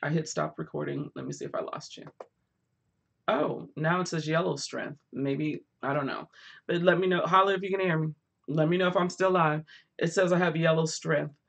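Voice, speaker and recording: A woman hosting a live book chat from home on her phone, chatty and quick to laugh, with a voice that is going hoarse. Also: sits low. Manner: chatty, laughs often, rambling asides. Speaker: book vlogger hosting a livestream. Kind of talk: casual live chat with viewers.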